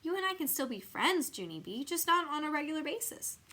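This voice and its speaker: Storybook part: prim little first-grade girl, done by a woman, smug and dismissive, bright voice, precious delivery. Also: high-pitched, very animated, brisk, voice pushed up, full character voice. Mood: condescending. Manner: precious, self-satisfied phrasing. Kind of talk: children's storybook character voice.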